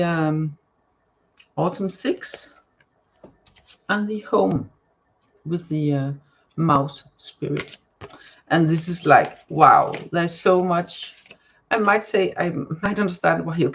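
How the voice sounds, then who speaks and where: A woman with a Danish accent, whose first language is Danish, giving a live tarot reading to viewers and often pausing to search for words. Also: fairly low pitch, animated, a bit bright, lo-fi sound, a little hiss or hum. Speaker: tarot card reader. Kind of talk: live-stream card reading, chatting to viewers.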